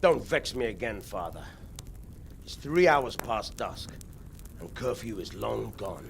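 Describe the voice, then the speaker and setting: An actor playing an angry, stern medieval bailiff in a video game, gravelly and commanding. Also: male voice, very high voice, very animated, a little slow, pushed and bright, very echoey, distant mic, slight background noise, heavily acted. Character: angry, threatening medieval bailiff enforcing curfew. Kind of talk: video game cutscene acting.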